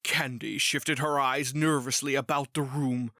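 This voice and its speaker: A woman's voice: a bass voice, fairly even intonation, unhurried, sharp tone, no room sound, clean studio sound. A woman reading scripted storybook narration.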